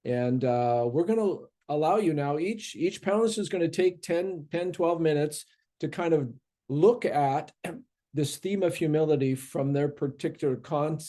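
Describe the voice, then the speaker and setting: A man's voice: a little high, measured pace, mellow. A man moderating a seminary panel on humility, introducing the speakers with many fillers and restarts.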